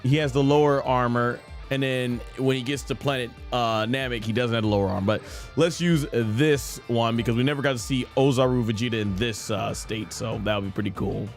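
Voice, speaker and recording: Casual man streaming, soft music behind. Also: medium pitch, fairly quick. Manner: thinking aloud. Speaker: streamer and amateur voice actor. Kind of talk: casual gaming chat.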